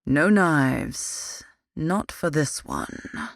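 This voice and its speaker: A sadistic male demon torturer, as an audiobook narrator puts him on, dragging each word out slow and soft with cruel relish. The voice sits at a middling pitch, dry and close with no room around it. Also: very animated, creaky, no echo, exaggerated voice. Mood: silkily menacing. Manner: slow, drawn-out menacing delivery. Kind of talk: audiobook character voice.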